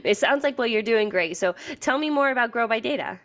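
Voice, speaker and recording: A woman's voice: on the high side, expressive, a little fast, fairly bright tone. Chatty woman hosting a business interview. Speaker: podcast host interviewing.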